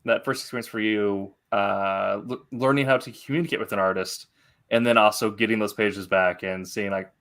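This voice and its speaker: A man hosting a casual interview, working his way haltingly through long, roundabout questions and comments. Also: fairly low pitch, steady tone, a bit bright. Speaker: interview host.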